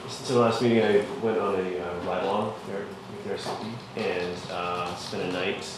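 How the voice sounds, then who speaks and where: Councilman stumbling thru a report, very deep flat voice, echoey far mic. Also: background noise. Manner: halting, many ums and restarts. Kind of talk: report at a meeting. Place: council chamber.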